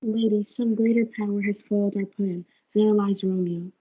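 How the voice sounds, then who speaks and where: A woman's voice: mid-range voice, steady tone, moderate pace, natural voice. A female student playing an anxious, fearful male friar in a school reader's theatre performance. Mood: urgent. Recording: dull lo-fi audio.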